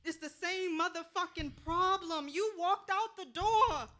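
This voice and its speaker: A woman's voice: extremely high pitch, measured pace, pushed and bright, full character voice. A woman in a staged play reading, playing a bitter, defiant, hard-drinking woman with profane, slangy, dramatic delivery. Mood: agitated.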